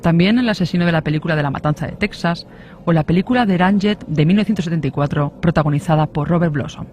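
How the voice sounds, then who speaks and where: A man's voice: on the high side, fast, darkish tone, slight background noise. A man reading a scripted true-crime radio narration.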